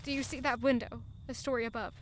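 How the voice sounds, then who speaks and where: A woman's voice: on the high side, steady tone, quick pace, slight background noise, close to an everyday voice. An actress playing a haunted, bitter young woman who narrates her own story in an audio drama with dramatic intensity. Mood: tense, hushed.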